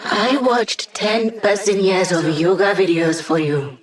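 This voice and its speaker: Casting: playful young hacker for a video game played by an actor, mid-pitched, self-assured, casual teasing squad support. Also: slow pace, distant mic, natural voice. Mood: cocky, determined. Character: playful, cocky young hacker sidekick guiding a villain squad.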